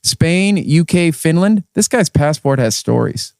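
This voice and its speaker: A man's voice: on the high side, animated, gravelly, a little mellow, no echo, clean studio sound. A man co-hosting a podcast interview, enthusiastic and chatty. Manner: enthusiastic, quick reactions. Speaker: podcast co-host, Scrum trainer. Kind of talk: casual interview chat.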